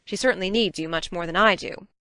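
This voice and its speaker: A woman playing a stern, practical middle-aged spinster farm woman in an audiobook drama, crisp and scolding in manner. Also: on the low side, fast talker, pushed and bright, dry recording. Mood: cross, severe.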